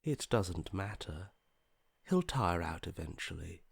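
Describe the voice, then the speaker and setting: An actor playing a detached, morbidly curious inner voice in a video game, with a languid, blasé delivery that softly trails off. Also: male voice, sits low, sing-song, takes it slowly, vocal fry, breathy edge, dry recording, heavily acted. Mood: cold indifference. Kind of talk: video game character voice acting.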